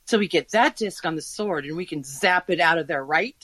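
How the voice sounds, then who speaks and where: A woman's voice: fairly low pitch, animated, a little fast, fairly bright tone, no echo. An actress playing a sarcastic, hungover young woman with a casual, exclamatory manner in a naturalistic audio drama. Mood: wry, curious.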